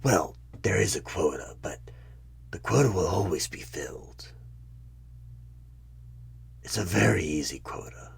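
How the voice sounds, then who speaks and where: Man playing a whispering, very deep, sly demon. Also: animated, vocal fry, breathy edge, exaggerated voice. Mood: smooth salesman persuasion. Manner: slow, drawn-out, insinuating, persuasive. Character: sly evil male demon recruiter, coaxing and tempting. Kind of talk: horror ASMR one-sided audio roleplay.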